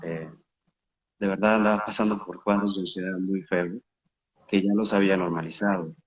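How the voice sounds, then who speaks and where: A man's voice: deep voice, steady tone, moderate pace, lo-fi sound. A man from Honduras, a guest speaking earnestly and openly, with some fillers, over a video call. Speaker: guest, breathing method instructor. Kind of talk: conversational interview answers.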